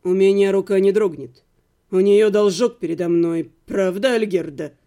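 A woman as a lowered-voice, menacing male villain. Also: steady tone, dark tone, lightly acted. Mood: threatening. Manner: deliberate, condescending, threatening. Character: cold, menacing male courtier and would-be killer.

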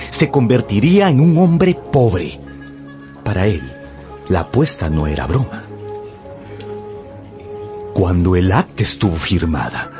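A man narrating a radio novel as a scripted, dramatic storyteller. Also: fairly low pitch.

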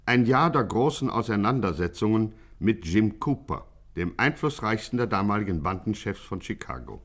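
Pompous radio chronicler. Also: male voice, fairly low pitch, big pitch swings, moderate pace, fairly bright tone, full character voice. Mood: mock-solemn. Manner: pompous, mock-solemn. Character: grandiose, mock-serious male chronicler of a gangster. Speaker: narrator. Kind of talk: 1950s scripted radio narration.

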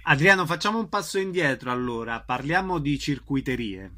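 A man's voice: on the high side, animated, brisk, sharp tone. A man hosting a radio interview, putting questions to his guest in a conversational way.

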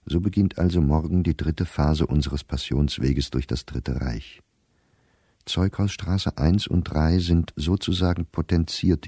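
Persecuted middle-aged diarist, very low mellow voice, terse, weary, restrained, radio play reading. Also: male voice, slightly rough, no room sound, studio quality, heavily acted. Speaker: diary reader in a radio play. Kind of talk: sober literary diary reading.

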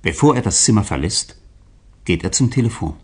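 Male radio-play narrator: deep-leaning, rough-edged voice, expressive scripted storytelling. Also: a little fast.

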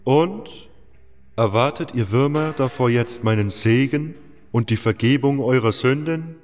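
Man playing arrogant high fire mage; high, loud, sneering, echoey hall. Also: a little slow, close to an everyday voice. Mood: contemptuous. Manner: haughty, contemptuous. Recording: long hall reverb, faint music.

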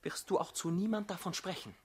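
An actor playing an earnest, proud and defensive young revolutionary husband in a radio drama, easily flustered. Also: male voice, high voice, fairly quick, darkish tone, husky. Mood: solemn, determined.

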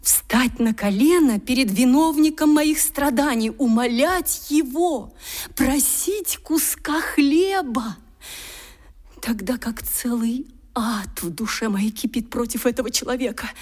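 Anguished young gentlewoman, highish breathy voice, proud, solemn, impassioned theatrical radio drama. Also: slow pace. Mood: anguished reproach. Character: proud, anguished, long-suffering young gentlewoman, humbled by poverty.

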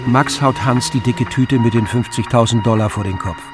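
A man narrating a radio play in a measured, wry storytelling manner. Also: mid-range voice, lightly acted. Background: music.

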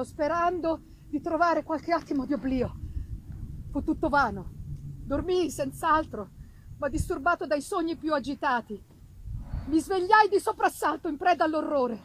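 Woman, high voice, theatrical Gothic storytelling, deliberate emphatic phrasing, noticeable background noise. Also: rough recording. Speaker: reader performing a literary text. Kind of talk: dramatic audiobook-style reading of a Gothic novel.

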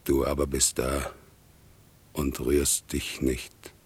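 Casting: wretched, defiant man for radio drama; bottomless bass, rough and husky, solemn and accusing. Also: level tone, full character voice. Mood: bitter accusation. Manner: slow, halting, bitterly accusing. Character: sick, despairing, defiant man raging against God. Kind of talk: radio play acting, solemn monologue. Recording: 1960s radio drama production.

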